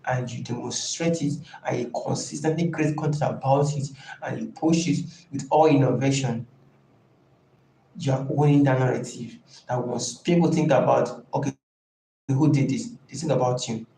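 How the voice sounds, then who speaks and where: A man coaching a live online class in an informal, halting and deliberate way, stressing key points by repeating them. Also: mid-range voice, gravelly, mellow. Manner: emphatic, repeats key phrases. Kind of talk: informal live teaching talk. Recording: echoey room, faint background noise.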